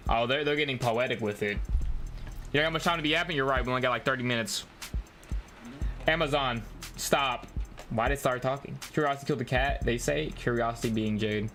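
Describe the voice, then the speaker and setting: Young male game streamer, average pitch, loose slangy chat delivery, light music bed. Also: a little fast, a bit bright. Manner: slangy, addresses chat as bro and y'all. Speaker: live streamer playing games. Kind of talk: casual livestream chat while playing a game.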